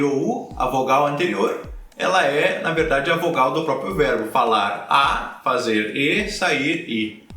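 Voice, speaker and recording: A Brazilian man with a Brazilian Portuguese accent explains grammar in a relaxed, chatty lesson. He often repeats himself and checks in with quick tag questions. His voice is bright and slightly high, and it rings in an echoey room. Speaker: language teacher. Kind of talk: casual explanatory lesson to learners.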